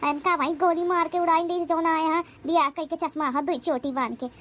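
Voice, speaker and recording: A woman voicing a sharp-tongued, angry old village wife in a comedy cartoon, scolding and taunting with an Awadhi accent. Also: very high voice, steady tone, brisk, slight background noise. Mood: furious, threatening. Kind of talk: rustic comedy cartoon acting.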